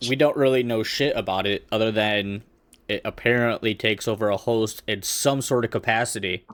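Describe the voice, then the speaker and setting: Man at a tabletop game, somewhat low pitch, informal talk. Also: moderate pace, fairly bright tone. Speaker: player in a tabletop role-playing game. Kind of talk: casual chat.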